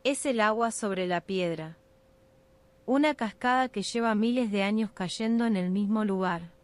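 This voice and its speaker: A woman narrating a scripted ASMR sleep meditation in a soothing, unhurried, hypnotic way. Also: mid-range voice.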